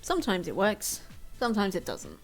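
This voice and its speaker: A woman's voice: medium pitch, wildly swooping pitch, moderate pace. A young woman streaming from home, chatting casually and rambling in a self-deprecating way. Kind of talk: casual chat to stream viewers. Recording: faint music behind.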